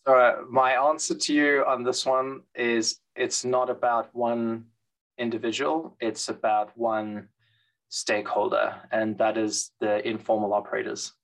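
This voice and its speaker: Man, transit expert guest, thoughtful, flat delivery, remote call. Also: mid-range voice, low-quality recording. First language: English. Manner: thoughtful, measured, many fillers and restarts. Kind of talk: interview conversation.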